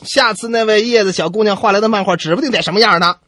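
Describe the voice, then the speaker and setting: A man co-hosting a comedy radio show, delivering scripted banter with animated mock exasperation. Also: sits high, fast talker, dry recording, studio quality. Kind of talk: scripted comic banter between radio hosts.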